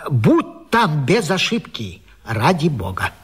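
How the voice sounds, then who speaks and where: An actor in a radio vaudeville playing a flustered, jealous 19th-century gentleman, stammering and agitated in a theatrical way. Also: fairly high pitch, huge pitch swings, takes it slowly, husky, full character voice. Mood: dramatic, suspicious.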